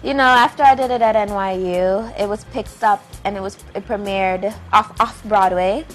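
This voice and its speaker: A woman's voice: mid-range voice, normal speed, faint music behind. A woman speaking casually and conversationally as a guest in an interview.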